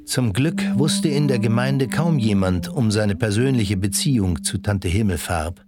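A man's voice: on the low side, lively intonation, a little slow. A man playing the composed narrator of a radio play, reading scripted narration. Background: music.

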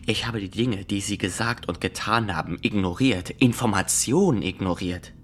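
A man playing an earnest young fox inspector in a radio drama, speaking in a stiff, formal and deliberate way. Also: on the low side, slight background noise, close to an everyday voice. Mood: earnest, firm. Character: rigid, rule-bound young fox inspector, official and earnest.

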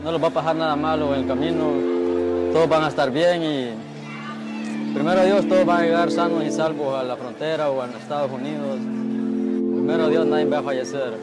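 A man from Central America speaks in a hopeful, reassuring way, his voice somewhat high. Loud music plays behind him outdoors. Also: steady tone, brisk. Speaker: migrant interviewee.

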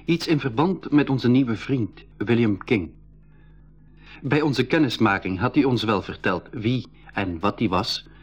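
A man's voice: mid-range voice, muffled recording, lightly acted. An actor playing a cautious boy adventurer who tells his story in a radio play for schools. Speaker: narrator and actor.

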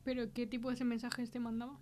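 An actress playing an inquisitive podcast host with a Castilian Spanish accent, questioning witnesses and recapping their mystery. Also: female voice, a little high, flat delivery, a little fast, a little mellow, close to an everyday voice. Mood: curious. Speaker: podcast interviewer. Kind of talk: interview in an audio fiction podcast.

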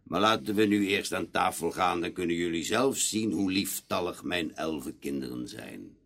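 Very deep, creaky old elf king. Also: a bit bright, heavily acted. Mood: indignant, proud. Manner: solemn, deliberate, dignified delivery. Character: stately old elf king, fond stern father. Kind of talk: fairy-tale radio play acting.

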